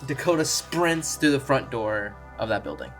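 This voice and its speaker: A tabletop player with a middling pitch describes the action in a conversational, halting way. The narration is informal and improvised, with music playing underneath. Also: expressive, fairly bright tone. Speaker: tabletop role-play player.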